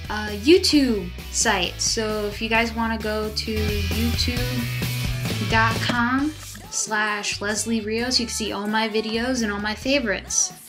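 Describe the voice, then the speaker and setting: A young woman chatting casually and cheerfully in a vlog, with a fairly low voice, recorded on a laptop webcam in a small dorm room. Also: fairly even intonation, takes it slowly, music in the background. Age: young adult. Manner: upbeat, chatty, loose rambling. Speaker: vlogger. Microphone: laptop webcam at desk distance.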